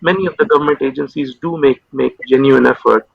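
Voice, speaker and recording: Gravelly halting man on a video call. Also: medium pitch, steady tone, dry recording. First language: Urdu. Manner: halting, many fillers and repeated words. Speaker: consultant psychiatrist guest. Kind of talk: interview over video call. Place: home room with window. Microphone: video call webcam. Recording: dull lo-fi video call audio.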